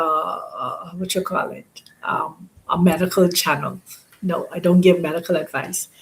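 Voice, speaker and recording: A woman from the Bahamas hosting an online channel, talking in an informal monologue and often pausing to search for words. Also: on the low side, rough recording.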